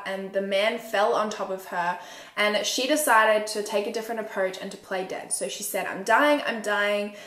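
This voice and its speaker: A woman's voice: mid-range voice, a bit bright. Young woman in a roomy space. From Australia. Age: 20s. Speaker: host, true crime storyteller. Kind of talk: conversational true crime narration. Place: small furnished room indoors. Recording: roomy indoor echo.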